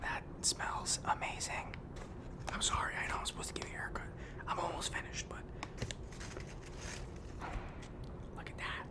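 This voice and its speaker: A man playing a friendly, awkward, down-on-his-luck barber on the run, rambling haltingly in an ASMR roleplay with a breathy whisper. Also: lightly acted. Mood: contented, chatty. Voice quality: breathy whisper with some fry.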